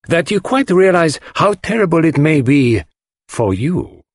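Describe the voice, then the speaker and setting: A man voicing a theatrical, commanding detective, formal and deliberate, in an audiobook. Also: medium pitch, big pitch swings, breathy edge, no room sound, clean studio sound, pitched above the performer's own voice. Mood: pointed, warning. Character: theatrical Belgian male detective, precise, commanding, dramatic. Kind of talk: audiobook character voice.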